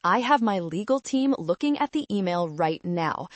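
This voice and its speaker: A woman's voice: mid-range voice, animated, moderate pace, no echo, close to an everyday voice. Woman playing an authoritative male boss, dry sound. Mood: stern. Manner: authoritative, formal. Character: commanding, amused male company boss.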